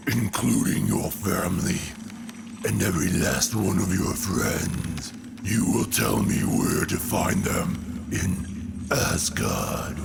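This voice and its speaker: A man voicing a sadistic alien god-killer villain, gravelly and menacing, taunting slowly and deliberately in a dramatic comic book reading. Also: full character voice. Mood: cold menace. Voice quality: gravelly, rasping. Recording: loud rumbling ambient noise behind.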